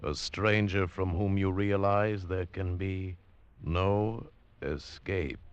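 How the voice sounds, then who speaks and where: A man's voice: low voice, steady tone, slow, noisy background. A man giving dramatic scripted narration as a 1940s radio drama narrator, solemn and dramatic. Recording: dull lo-fi 1940s radio broadcast with music.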